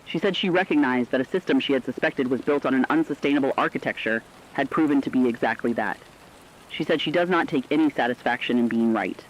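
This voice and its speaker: A man's voice: medium pitch, fast, close to an everyday voice. A man playing a sober radio correspondent, plainly reading a scripted documentary-style report. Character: sober male radio correspondent relaying survivor testimony. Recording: dull lo-fi radio sound, faint noise.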